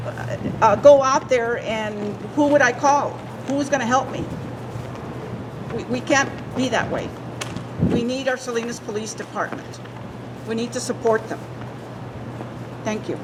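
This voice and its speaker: Emphatic woman rally speaker, high pitch, recorded from far off with wind roaring. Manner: emphatic, repeating phrases for force. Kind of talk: impassioned public speech. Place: outdoor courtyard rally. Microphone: podium microphone. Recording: distant mic with loud wind noise outdoors.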